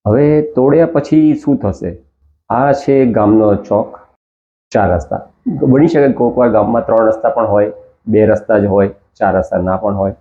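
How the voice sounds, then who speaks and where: A man hosting, slowly explaining a project as he demonstrates it on screen. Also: on the low side, mellow, a little hiss or hum. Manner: slow, explanatory, walking through a demo. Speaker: host. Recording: tinny phone-like sound.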